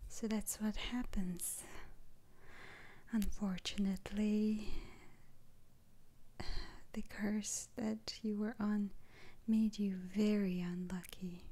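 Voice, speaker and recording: A woman playing a soothing, faintly sly witch doctor in an ASMR roleplay, speaking in a breathy, halting whisper. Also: mid-range voice, level tone, warm tone. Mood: regretful, hushed.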